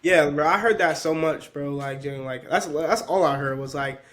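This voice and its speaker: Soft-trailing young man, twenty, chatty. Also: a little high, moderate pace. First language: Spanish. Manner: relaxed, filler-heavy, trails off softly. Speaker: podcast guest. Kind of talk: casual conversation.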